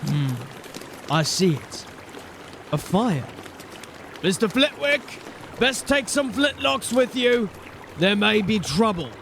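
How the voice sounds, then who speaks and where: An actor playing a gruff, commanding city watch sergeant in a fantasy audio drama, giving clipped, urgent orders. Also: male voice, high-pitched, sing-song, takes it slowly, long pauses, fairly bright tone, slight background noise, exaggerated voice. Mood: wary. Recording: music under the voice.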